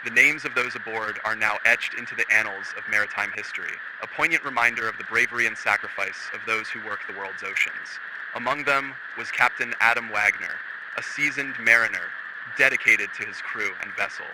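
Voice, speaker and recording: A man narrating a scripted documentary-style story in a solemn, measured way. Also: fairly low pitch. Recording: loud background noise and ambience.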